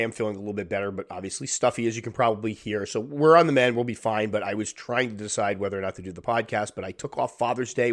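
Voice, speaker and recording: A man in his thirties or forties with a stuffy, congested nose, hosting a solo podcast in a chatty, rambling way. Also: on the low side. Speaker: podcast host. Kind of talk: casual solo podcast talk.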